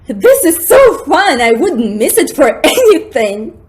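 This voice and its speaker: A woman acting an excitable, thrill-seeking, easily frightened young woman in a melodramatic, girlish radio drama style. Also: sits high, slow, exaggerated voice. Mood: playful, thrilled.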